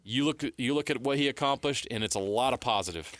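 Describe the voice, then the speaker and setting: American guy doing sports radio analysis, bright tense voice, measured explaining, dry studio sound. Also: medium pitch, no room sound. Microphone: close studio broadcast mic.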